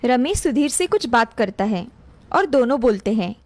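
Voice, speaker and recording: High-pitched woman narrating a story. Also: big pitch swings, normal speed, no echo. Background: music.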